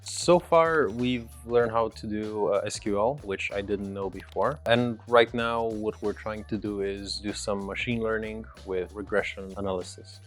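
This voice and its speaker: A man's voice: fairly low pitch, fairly even intonation, measured pace, few pauses, faint music behind. A young man giving casual answers in an interview. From Romania. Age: young adult. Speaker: bootcamp trainee being interviewed.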